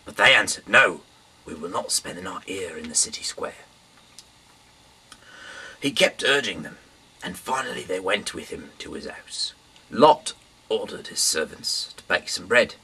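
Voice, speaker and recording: Low, bright-voiced man reading aloud. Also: lively intonation, a little slow, long pauses, slightly rough. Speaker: Bible reader. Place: small dim room indoors. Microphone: camera mic from a little way off. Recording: distant mic, faint background hiss.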